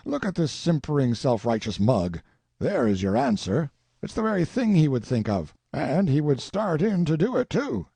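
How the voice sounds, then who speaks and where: A man's voice: fairly high pitch, big pitch swings, normal speed, gravelly, mellow, husky, no room sound, pitched above the performer's own voice, full character voice. A man voicing a boastful, eccentric older colonel in an audiobook, talking in effusive, rambling exclamations. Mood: scornful. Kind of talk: audiobook character reading.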